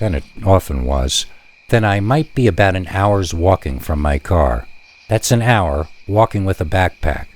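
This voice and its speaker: A man reading a first-person scary story in a measured, deliberate way with dramatic pauses. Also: low voice. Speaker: narrator reading scary stories.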